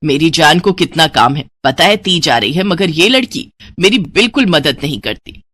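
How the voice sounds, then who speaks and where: Lady playing a grumbly cartoon mom, lower and nagging, lively delivery, dry sound. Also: normal speed, husky, no echo, heavily acted. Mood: grumbling. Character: grumbling middle-aged mother, strict housewife.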